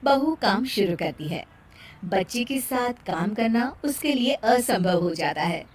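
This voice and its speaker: A woman's voice: fairly high pitch, animated, unhurried, slightly rough. A woman narrator reading a scripted moral story for a children's cartoon.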